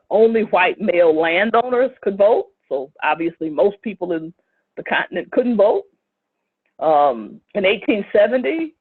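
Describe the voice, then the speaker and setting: A woman giving a recorded lecture with slides, speaking in a measured way with frequent fillers and brief asides. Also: mid-range voice, slightly rough, no room sound. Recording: tinny phone-like lo-fi recording.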